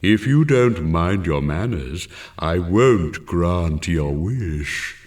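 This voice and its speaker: Slow, pompous old-world talking tree. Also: male voice, sits low, lively intonation, a little mellow, distant mic, exaggerated voice. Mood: testy. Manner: slow, grandly correct, drawn-out words. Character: pompous talking apple tree, haughty and easily offended.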